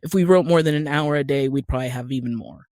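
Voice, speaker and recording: A woman's voice: rumbling low, quick pace, warm tone. A woman from Utah with an American accent, explaining things step by step in a conversational interview. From Utah, United States. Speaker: podcast guest, screenwriter and actor.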